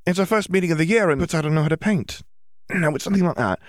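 A man's voice: fairly high pitch, fast, no echo, clean studio sound, voice pushed up, close to an everyday voice. A man voicing a friendly, forward young schoolgirl witch who talks in a chatty, eager way, for an audiobook. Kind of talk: audiobook character voice.

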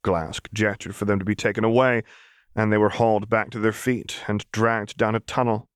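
Guy reading an audiobook story, fairly deep voice, scripted and dramatic, really dry close mic. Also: level tone, moderate pace, clear, resonant tone, no echo. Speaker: audiobook narrator. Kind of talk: scripted dramatic fiction narration.

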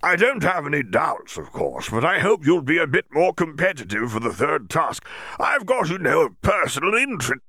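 Man acting male official, raised pitch, effusive, blustering, storybook character. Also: very animated, moderate pace, pushed and bright, no room sound, clean studio sound, full character voice. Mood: gushing. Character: blustering, over-enthusiastic male sports official.

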